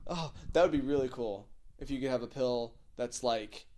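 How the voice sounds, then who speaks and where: A man's voice: medium pitch, normal speed, mellow. A man hosting a comedy podcast with casual, rambling, jokey banter full of slang.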